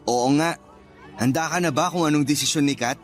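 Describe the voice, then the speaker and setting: A man's voice: a little high, brisk, faint music behind, some chatter behind, lightly acted. A male actor playing a kind, good-natured young suitor in a Filipino radio drama, sincere and friendly. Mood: nervous, sincere.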